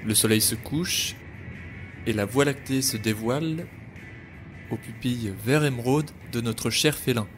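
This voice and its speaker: A man tells a scripted podcast story in a wry voice pitched in the middle range. Music plays clearly behind him. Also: a little slow. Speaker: narrator.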